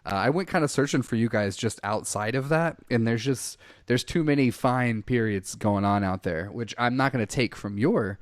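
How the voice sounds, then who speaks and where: A man's voice: mid-range voice, normal speed, few pauses, dry recording, clean studio sound. A man hosting an interview over a call, talking casually and rambling with many fillers. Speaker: podcast host. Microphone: headphones on a call.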